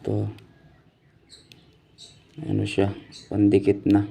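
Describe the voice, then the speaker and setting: A man with a Filipino accent casually showing items in an unboxing vlog, haltingly searching for words. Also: on the low side, monotone. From Philippines. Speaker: DIY and motovlog host. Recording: clearly noisy home-made vlog audio.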